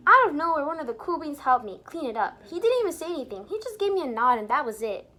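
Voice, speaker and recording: A woman reading a picture book aloud to young children in an expressive, teacherly way, pausing to explain things and put questions to the listeners. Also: sits high. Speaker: children's storybook reader and teacher. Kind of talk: animated read-aloud for young children with asides explaining words.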